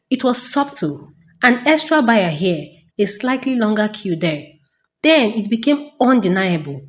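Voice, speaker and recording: A woman narrating a folktale as a storyteller, in a dramatic, deliberate and suspenseful scripted style with pauses. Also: a little high.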